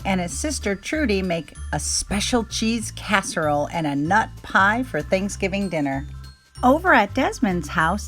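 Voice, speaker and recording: A woman reading a children's picture book aloud in an expressive, gentle storytelling manner. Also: medium pitch.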